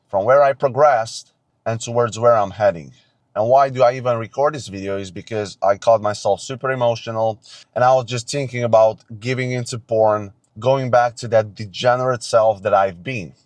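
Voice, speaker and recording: A man's voice: fairly low pitch, a little mellow, dry recording. Young man, tired after no sleep, intense unscripted pep talk, close dry sound. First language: Romanian. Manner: earnest, intense, repeats himself, preachy. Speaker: self-improvement vlogger. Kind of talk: unscripted motivational monologue. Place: forest outdoors at dawn.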